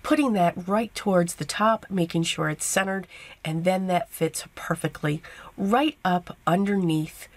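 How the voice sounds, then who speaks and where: Woman, low-pitched and hoarse, chatty craft-tutorial voice-over, fillers and asides. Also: unhurried. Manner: chatty step-by-step explaining with fillers. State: losing her voice, hoarse. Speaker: card-making host.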